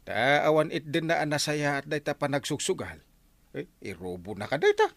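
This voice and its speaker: A man with an Ilocano accent and a gravelly voice, playing a village captain in a comedy drama, admonishing people with drawn-out, hesitant interjections. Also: fairly high pitch, wildly swooping pitch, no echo, exaggerated voice. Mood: scolding. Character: village captain, mediating and admonishing comic official.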